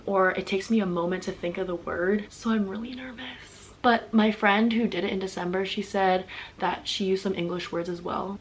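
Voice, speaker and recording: A woman's voice: medium pitch, a little hiss or hum. A young woman telling a story in a casual vlog, chatty and speaking straight to her viewers, often repeating words for emphasis. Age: young adult. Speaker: vlogger and athlete.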